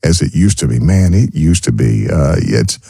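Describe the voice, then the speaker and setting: A man's voice: sits low, expressive, moderate pace, gravelly, dark tone, slightly rough, no room sound, studio quality. A man in his sixties with a West Texas accent, chatting casually as a country radio host and rambling off-track. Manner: rambling, self-interrupting.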